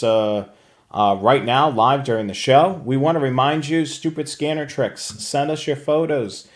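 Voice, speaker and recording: Casual man co-hosting a live show. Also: medium pitch. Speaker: co-host of a live radio scanner show. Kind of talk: casual live product talk.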